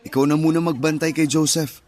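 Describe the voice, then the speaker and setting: A man's voice: fairly high pitch, fairly even intonation, brisk, a little mellow, natural voice. A man with a Filipino accent acting as a caring, patient young husband in a radio-style romance drama. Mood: calm, concerned. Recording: music under the voice.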